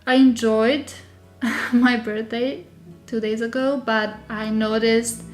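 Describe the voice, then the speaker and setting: Female live-stream host, Serbian accent, slow casual delivery, thinking aloud, a bit poorly, soft music underneath. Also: on the high side, flat delivery. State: slightly unwell with a sore throat. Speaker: digital journaling creator hosting a live stream. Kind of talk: casual live tutorial chat.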